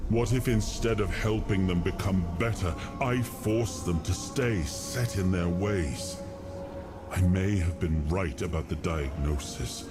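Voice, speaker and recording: Fantasy game role: grave, world-worn king consumed by guilt, extremely low and unhurried voice. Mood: regretful. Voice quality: very deep, heavy. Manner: slow, grave, regretful delivery. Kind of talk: brooding dramatic monologue for a fantasy video game. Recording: music playing behind the voice.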